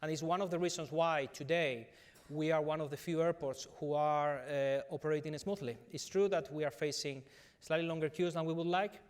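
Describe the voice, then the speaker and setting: A man's voice: a little high, fairly even intonation, fairly quick, clean tone. A middle-aged man with a Spanish accent, speaking earnestly at a business panel with many fillers and restarts. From Spain. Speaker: company executive on a conference panel.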